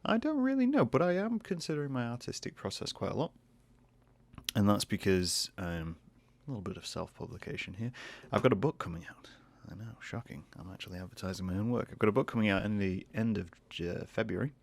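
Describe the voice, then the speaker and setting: A Northern English man talks into a close desk mic in a casual solo podcast. His voice sits very low with a gravelly, creaky edge. He is croaky and hoarse today and wanders through his thoughts with self-deprecating restarts. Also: animated, fairly quick, a little mellow. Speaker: podcast host and artist. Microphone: desk microphone close to face.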